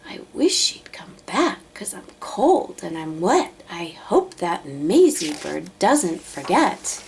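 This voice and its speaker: A woman voicing a huge male elephant in a children's storybook, gentle, faithful and earnest. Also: fairly low pitch, very animated, vocal fry, a little hiss or hum, heavily acted. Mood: glum grumbling. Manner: earnest, deliberate. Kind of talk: children's storybook read-aloud.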